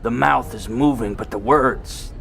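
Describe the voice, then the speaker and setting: A man voices a wry, skeptical robot who answers everything with dry sarcasm. He keeps an even middle pitch, with faint music underneath. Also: unhurried, gravelly. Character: wry, skeptical male robot, laid-back. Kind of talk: fan audio drama acting.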